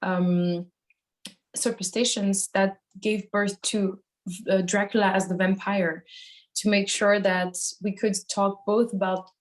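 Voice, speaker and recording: Swiss woman singer chatting in an interview, mid pitched voice, kinda hesitant, picks her words as she goes. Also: flat delivery, takes it slowly. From Switzerland. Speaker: singer in a metal band, interviewee. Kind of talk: casual interview answers.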